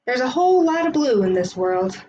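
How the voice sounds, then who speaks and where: A woman's voice: high-pitched, very animated, a little fast, dark tone, dull audio, slight background noise. A woman reading a storybook, voicing a friendly, easygoing blind man who savors his words. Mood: dreamy, savoring.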